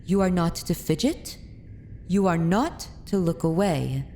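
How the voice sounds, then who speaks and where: Woman voicing a haughty vampire lady, dominant, slow deliberate delivery, mellow tone, archaic fantasy ASMR roleplay. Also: fairly low pitch, animated, slight background noise. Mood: stern, threatening. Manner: imperious, archaic formal speech, deliberate and commanding. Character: haughty, dominant aristocratic vampire mistress of a manor.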